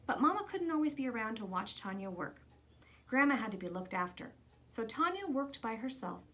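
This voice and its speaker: A woman's voice: on the high side, fairly bright tone. A woman reading a children's picture book aloud as scripted story narration. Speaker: storybook reader.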